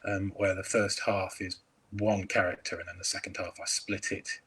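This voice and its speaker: A man's voice: sits low, flat delivery, moderate pace, a bit bright, slightly rough, poor audio. A man chatting as a crime author over a video call, hesitant with many ums and restarts. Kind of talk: casual interview chat.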